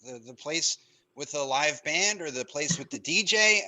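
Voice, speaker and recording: A man's voice: on the high side, expressive, moderate pace, pushed and bright, poor audio. A man playing a mild, diplomatic young man among friends in a screenplay table read. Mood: hesitant, conciliatory.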